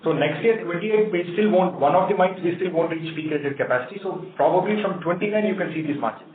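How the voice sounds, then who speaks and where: A man's voice: high voice, fast, crowd noise. A man with an Indian accent answering analysts' questions as a company executive on a conference call. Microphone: conference phone line. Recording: tinny phone line, echoey room, noisy.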